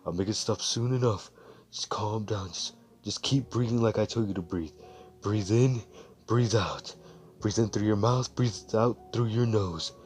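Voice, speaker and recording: A man's voice: lowish voice, a little slow, a little mellow, soft background music, lightly acted. A man voicing a desperate, grieving teenage boy who pleads and repeats himself, close to tears. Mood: pleading.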